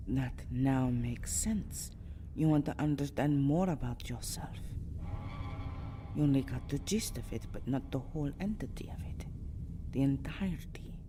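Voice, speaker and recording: Woman playing a low-voiced, flustered vampire girl. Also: unhurried. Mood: anxious. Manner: stammering, flustered, pleading. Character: anxious, lovesick six-hundred-year-old vampire girl, servile to her mistress. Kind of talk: ASMR roleplay acting. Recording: clear background ambient noise.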